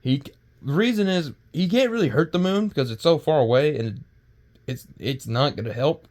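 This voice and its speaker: Man doing halting, rambling casual video commentary. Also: a little high, animated, a little mellow. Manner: halting, rambling, stumbles over words and restarts. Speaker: video creator and host. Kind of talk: casual unscripted power-scaling commentary.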